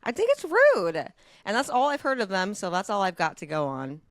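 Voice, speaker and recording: Female podcast panelist, lively swooping intonation, emphatic and opinionated, dry close recording. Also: medium pitch, moderate pace, no echo. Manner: emphatic, blunt, swears casually. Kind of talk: casual, opinionated chat.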